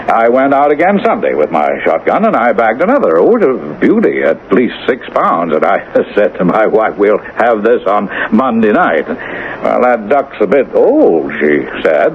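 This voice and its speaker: An actor playing a rueful, chatty condemned man in a radio drama, telling his tale with halting, wry humor. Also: on the low side, sing-song. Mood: rueful storytelling. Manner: halting, wry storytelling with chuckles. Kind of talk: 1970s radio drama acting. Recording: tinny, noisy old radio broadcast.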